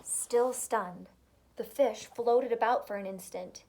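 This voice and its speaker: A woman teacher reading a storybook aloud to children, expressive, with dramatic pauses and emphasis. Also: a little high, noisy background.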